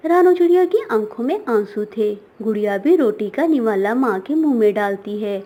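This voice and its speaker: A woman's voice: on the high side, animated, normal speed, narrow, tinny audio. A woman reading a scripted children's story as its narrator.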